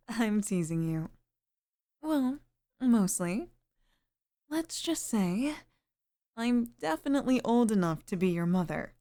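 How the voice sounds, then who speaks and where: A woman voicing a sweet, middle-aged single mother in an intimate ASMR roleplay, speaking softly and flirtatiously with sighs and pauses. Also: mid-range voice. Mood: teasing, flirtatious.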